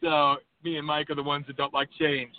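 A man's voice: a little high, little pitch movement, fairly quick. Emphatic male radio host on a phone line. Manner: impassioned, rambling, emphatic. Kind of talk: call-in radio talk. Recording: tinny phone-line audio with faint background hum.

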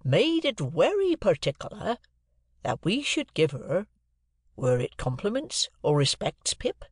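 A storyteller voicing a slow, kindly country blacksmith who talks in halting, rambling phrases with mangled grammar. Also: mid-range voice, very animated, dry recording, studio quality, full character voice. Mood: slow, solemn.

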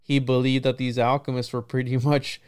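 A man with a clear, smooth voice talks in a loose, conversational podcast style. His delivery is rambling and reflective, with frequent little check-ins as he works through his thoughts. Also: mid-range voice, little pitch movement, normal speed, darkish tone. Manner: rambling, musing, peppered with right and you know. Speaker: podcast host. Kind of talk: casual podcast conversation on esoteric ideas.

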